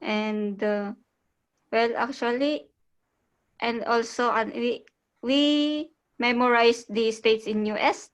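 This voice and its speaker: A woman with a Filipino accent and a fairly high voice joins a group video call. She speaks softly and hesitantly, giving short replies with pauses and fillers. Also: clear, resonant tone. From Philippines. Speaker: call center trainee.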